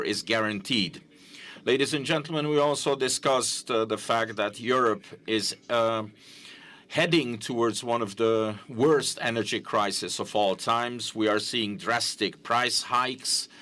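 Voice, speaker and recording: A man giving simultaneous interpretation at a press conference, halting, with frequent fillers and self-corrections. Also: fairly low pitch, a bit bright, slight background noise.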